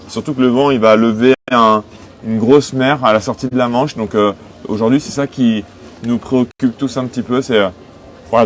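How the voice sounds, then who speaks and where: A man of twenty-five from western France, answering interview questions conversationally as a skipper. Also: mid-range voice. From Cholet, western France. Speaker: sailing skipper being interviewed. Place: aboard a sailing boat in port. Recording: noticeable background noise on location.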